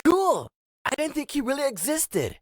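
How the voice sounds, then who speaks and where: A woman playing an excitable, stubborn young boy in a comic dub, slangy and teasing. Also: sits high, moderate pace. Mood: awed. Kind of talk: comic dub character acting.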